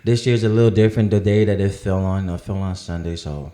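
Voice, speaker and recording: A man's voice: sits low, fast, warm tone. A man who grew up in Samoa and New Zealand, guest on a casual podcast chat, laid-back and laughing easily, full of "you know what I mean". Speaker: guest singer-songwriter.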